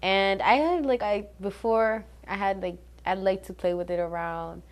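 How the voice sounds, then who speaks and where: A woman's voice: medium pitch, normal speed. A teenage girl raised in New Jersey, a student filmmaker answering interview questions informally, with lots of "like". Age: teens. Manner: informal, uses "like" a lot, laughs easily.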